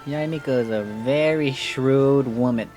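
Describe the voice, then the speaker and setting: Unhurried woman streamer reacting to a game. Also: rumbling low, mellow. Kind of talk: casual live commentary reacting to a game. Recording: game music behind.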